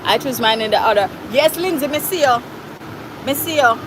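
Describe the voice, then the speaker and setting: A woman's voice: sits high, lively intonation, brisk, background noise. A woman with a Jamaican accent hosting a casual livestream, reading out viewer comments in a chatty way and slipping into Patois phrases. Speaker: livestream host.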